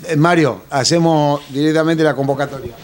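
A man with an Argentine accent hosting a casual interview, asking quick friendly questions. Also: fairly high pitch, fairly even intonation. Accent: Argentine Spanish. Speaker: radio-TV interviewer.

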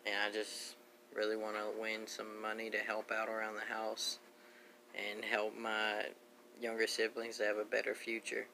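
A young man speaks quietly and casually into a phone held at arm's length in a room at home. His delivery is plain and a little halting, like an amateur introducing an audition tape. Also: fairly low pitch, completely flat delivery, creaky, slight background noise, low-quality recording. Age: young adult. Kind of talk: casual spoken introduction to a self-taped audition. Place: small room at home, indoors.

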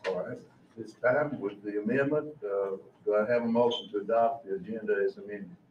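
A man's voice: fairly low pitch, a little fast. An older man chairing a formal board meeting, speaking in procedural phrases in a large indoor room. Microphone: distant room microphone. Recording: dull, roomy, distant mic, faint noise.

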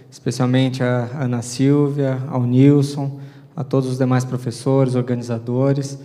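A man's voice: mid-range voice, a little mellow. Brazilian guy giving a speech, chatty with lots of né, echoey auditorium, handheld mic. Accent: Brazilian Portuguese. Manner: informal, conversational, frequent fillers. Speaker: city mayor. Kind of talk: public address. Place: large auditorium, seated at a panel table. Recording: long hall reverb.

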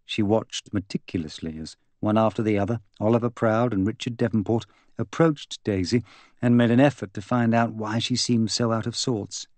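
A man narrates a mystery novel in a fairly low voice. His reading is measured and expressive, in a polished literary style. The sound is close and very dry, with no room on it. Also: no room sound. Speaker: audiobook narrator.

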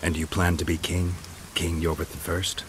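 An actor playing a terse, skeptical monster-hunting swordsman in a video game, gravelly and dry, speaking in clipped phrases. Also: deep voice, flat delivery, moderate pace, a little hiss or hum, natural voice. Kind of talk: game dialogue acting.

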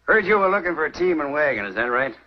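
An actor playing a gruff, sly middle-aged frontier sheriff who talks in a taunting drawl. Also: male voice, on the high side, very animated, fast talker. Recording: dull old TV soundtrack with faint hiss.

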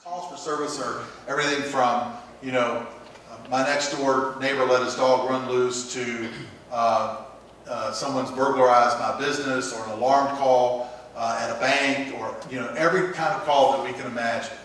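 A man in his fifties, a police captain, giving halting, measured interview remarks. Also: mid-range voice, steady tone, fairly bright tone, slight background noise, rough recording. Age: 50s. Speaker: police captain, crisis team coordinator.

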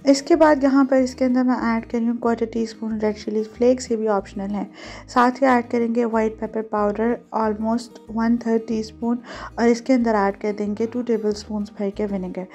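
A woman narrates a recipe in a conversational, instructional way. Her voice sits a little high yet has a dark, relaxed tone. She slips English words and phrases into her explanations, with some music behind her. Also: moderate pace, dull audio. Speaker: home cooking show host.

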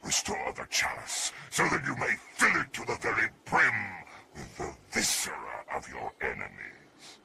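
Grand gravelly space emperor, super deep, slow and indulgent, game cutscene w/ ambient hum. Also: male voice, wildly swooping pitch, heavily acted. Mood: gloating. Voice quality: gravelly, booming and theatrical. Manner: savoring words, drops to whispers. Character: pompous decadent alien emperor, grand and indulgent. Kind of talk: video game cutscene dialogue.

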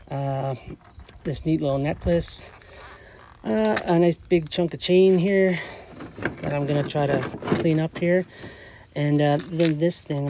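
A man's voice: a little high, creaky, a little mellow. A man in his forties hosting a casual outdoor vlog, talking plainly and hesitantly into a handheld camera. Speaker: vlog host, magnet fishing father. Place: outdoors, bridge by a road and later outside at home. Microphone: handheld camera or phone. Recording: noisy outdoor traffic ambience.

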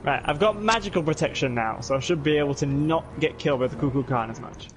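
A man in casual gaming chat with friends, excitable and prone to swearing. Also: fairly high pitch, fast. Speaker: video game player.

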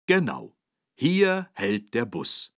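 A man playing a patient, friendly instructor, narrating for children in an encouraging, didactic way with a husky voice. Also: mid-range voice, huge pitch swings, slow, a bit bright, dry recording, studio quality, heavily acted. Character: friendly, patient adult man teaching children road safety. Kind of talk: scripted children's educational narration.

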